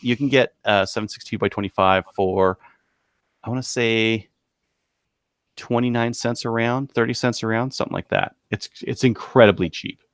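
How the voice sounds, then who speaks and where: A man co-hosting a podcast, casually running through products in a halting, thinking-aloud way. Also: mid-range voice, fairly even intonation, clean tone, no echo, studio quality. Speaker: podcast co-host presenting gun deals.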